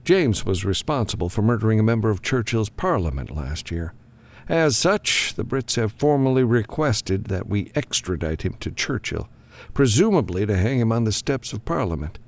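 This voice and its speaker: Give the audiobook a grim, commanding lawman as acted by a male narrator. He briefs in a frank, businesslike way, raised in pitch, with wide emphatic swings and a gravelly creak. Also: very animated, moderate pace. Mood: businesslike, firm.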